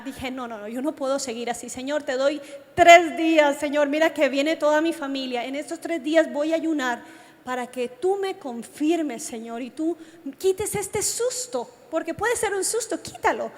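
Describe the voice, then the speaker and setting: A Colombian woman preaches in a high voice, with long reverb ringing around her. She speaks straight to her listeners and tells her testimony like a story. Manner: animated storytelling, addresses listeners directly. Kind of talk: youth sermon with personal testimony. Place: large reverberant meeting hall. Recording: long hall reverb from a sound system.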